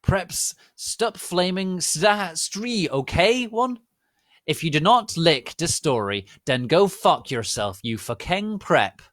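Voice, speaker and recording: A man voicing a bratty, defensive teenage girl fanfiction author with a whiny, petulant, drawn-out delivery. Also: high-pitched, expressive, a bit bright, no echo, clean studio sound, pitched above the performer's own voice, exaggerated voice. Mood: indignant.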